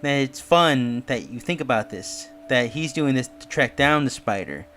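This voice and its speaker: Male commentator, mid-pitched, for casual speculative review and theory narration over a music bed. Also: animated, moderate pace, fairly bright tone. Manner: musing, speculative. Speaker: YouTube reviewer.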